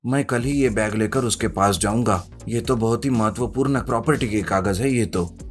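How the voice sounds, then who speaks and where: A man's voice: on the low side, moderate pace, natural voice. A man voicing a kind-hearted, earnest poor onion seller in a story. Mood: thoughtful. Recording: music behind the voice.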